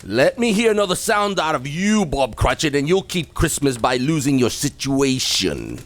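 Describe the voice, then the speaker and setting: A man's voice: fairly high pitch, very animated, moderate pace, a bit bright. An actor playing a grim, miserly, cantankerous old man who snaps and grumbles scornfully in a radio play. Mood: dismissive.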